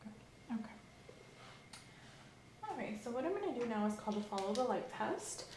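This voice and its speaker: Woman, ASMR exam role-play, soft slow instructions, some whispering, echoey room, faint ambience. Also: a little high, very animated, noisy background. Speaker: ASMR creator playing an examiner.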